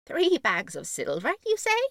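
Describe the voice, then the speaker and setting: A woman voicing a sly, menacing magpie-like piper man in a storybook tale, with a raspy, chittering, theatrical delivery. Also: on the high side, huge pitch swings, a bit bright, no room sound, studio quality, heavily acted. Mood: sly, questioning. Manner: slow, deliberate, theatrical. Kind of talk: storybook character voice.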